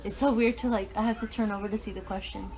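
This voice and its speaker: A woman's voice: mid-range voice, steady tone, quick pace, darkish tone. A woman hosting a live-streamed artist talk, bubbly and upbeat as she greets viewers and asks questions. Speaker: host of live artist talks. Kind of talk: casual live-stream interview. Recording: live stream with clear background noise.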